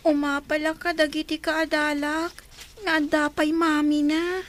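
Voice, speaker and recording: Very high-pitched, sad little girl. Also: level tone, a little slow, fairly bright tone. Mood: sad, pleading. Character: little girl missing her mother, sad and pleading. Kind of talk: Ilocano radio drama acting.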